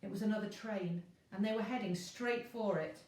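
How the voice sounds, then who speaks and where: A woman reads a children's adventure story like a teacher before a class. Her narration is expressive, at a middle pitch. She sounds some way from the mic in a strongly echoing room. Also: moderate pace. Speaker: teacher reading a class novel. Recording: very echoey room, distant mic.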